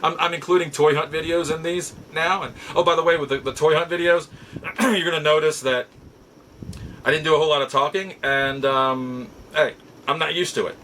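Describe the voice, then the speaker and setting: A man's voice: on the high side, fairly even intonation, fairly quick, fairly bright tone, a little hiss or hum. A middle-aged man hosting a hobby show, telling stories casually to viewers in an exasperated, emphatic way and repeating phrases for stress. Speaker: host of a nostalgia and toy collecting show. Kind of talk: casual rambling storytelling to viewers.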